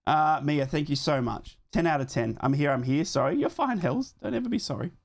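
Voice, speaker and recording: A man's voice: on the high side, lively intonation, brisk, gravelly. A man hosting a live football watchalong, chatting casually, reading out live scores and thanking viewers for donations, a bit sick and losing his voice.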